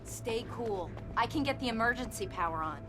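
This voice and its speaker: Small-town sheriff, female actor: firm, assertive, brisk authority, very expressive pitch, cutscene score underneath. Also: over music, lightly acted. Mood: brisk, steady. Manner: assertive, decisive, businesslike. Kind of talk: video game cutscene acting.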